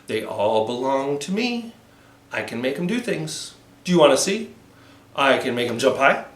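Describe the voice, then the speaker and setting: A man's voice: mid-range voice, big pitch swings, normal speed. A man leading a children's storytime, telling stories and encouraging young listeners as he goes. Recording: roomy, echoey sound.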